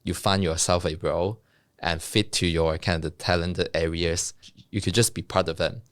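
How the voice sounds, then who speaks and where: A man's voice: low-pitched, fairly even intonation, moderate pace, no echo, clean studio sound. A young man from Hong Kong, a native Cantonese speaker with a Hong Kong accent, describes his work as a guest in an interview, casually and with frequent laughs. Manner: laughs often, casual and self-deprecating. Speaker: guest, software developer and co-founder.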